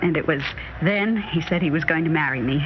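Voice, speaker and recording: Actress as an earnest, worried American wife, muffled recording. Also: female voice, sits low, a little fast, close to an everyday voice. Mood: wistful, worried. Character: astronaut's worried wife, earnest, guarded, wistful. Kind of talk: vintage radio drama acting. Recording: noisy, muffled vintage radio recording.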